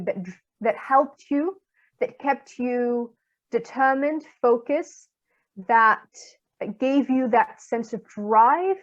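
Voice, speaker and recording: A woman's voice: on the high side, dull audio. A woman hosting a podcast, asking a long, carefully phrased question. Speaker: podcast host. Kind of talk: interview question.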